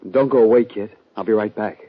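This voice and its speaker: A man playing an earnest, boyish young cowboy with a husky voice in a 1950s radio western. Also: on the low side, fast. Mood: subdued, contrite. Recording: muffled old radio recording.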